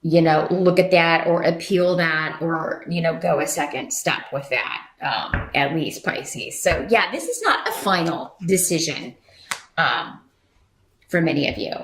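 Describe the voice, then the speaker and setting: A woman's voice: deep voice, expressive, a little slow, a bit bright. A woman giving an unscripted tarot reading to viewers in a conversational, rambling, reassuring way. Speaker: tarot reader and channel host. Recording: roomy echo.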